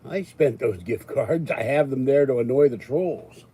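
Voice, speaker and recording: An older American man hosting his own channel, talking in a rambling, casual way with jokey asides and frequent throat-clearing. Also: medium pitch, normal speed, dark tone. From Pittsburgh, United States. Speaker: YouTube channel host. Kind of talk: rambling casual monologue to viewers.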